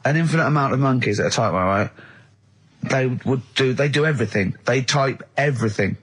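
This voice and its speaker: A man's voice: mid-range voice, fast talker, a bit bright, slightly rough. A man co-hosting a radio show, arguing casually and emphatically, interrupting and repeating himself in exasperation. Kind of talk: casual argumentative radio banter.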